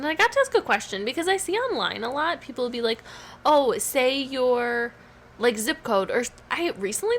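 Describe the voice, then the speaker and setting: A woman co-hosting a podcast in casual chat, hoarse from swollen tonsils, rambling with lots of "like" and "um". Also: on the high side, fairly bright tone, a little hiss or hum. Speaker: podcast co-host.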